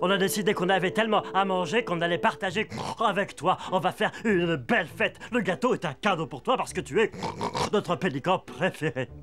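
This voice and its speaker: Excitable animal, high voice, stammering, children's cartoon. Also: male voice, fairly quick, faint music behind. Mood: cheerful. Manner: stammering, repeats words. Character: excitable, stammering male animal who hoards food. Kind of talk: French cartoon dub.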